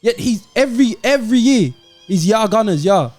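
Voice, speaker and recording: A man's voice: high voice, expressive, moderate pace, a little mellow, soft background music. A man co-hosting a football podcast with a plaintive, melodramatic delivery, doing a mock-sad comic monologue to his friends. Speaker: podcast co-host.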